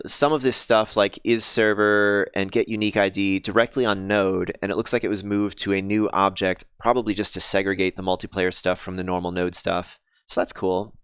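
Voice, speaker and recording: A man with a lowish, smooth voice talks casually through his game code on a live stream, pausing often and greeting viewers. His voice is a little scratchy and sniffly. The sound is close and dry. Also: fairly bright tone, no echo. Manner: thinks aloud, many ums and restarts, chats with viewers. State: slightly scratchy voice, sniffly after illness.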